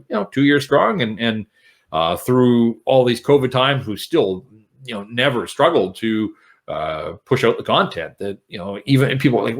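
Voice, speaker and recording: A middle-aged Canadian man with an articulate, explanatory way of talking, speaking as a sports broadcaster in a conversational interview. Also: on the low side. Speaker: sports radio broadcaster and podcast co-host, interview guest. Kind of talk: conversational interview, reflective storytelling.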